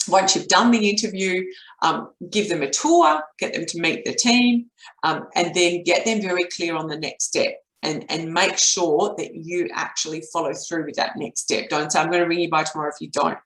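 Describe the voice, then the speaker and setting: A middle-aged woman with an Australian accent and a voice croaky from a cold, explaining steps as a business coach in a webinar. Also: lowish voice, normal speed, fairly bright tone. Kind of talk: explanatory webinar talk with step-by-step recap. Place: indoor home office, at a desk. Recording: roomy, echoey webcam audio.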